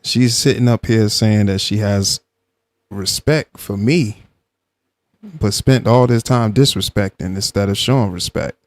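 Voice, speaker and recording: A man's voice: lowish voice, measured pace, no echo. A man hosting a live stream, giving commentary and arguing with a caller in a blunt, insistent way that repeats points. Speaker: livestream host.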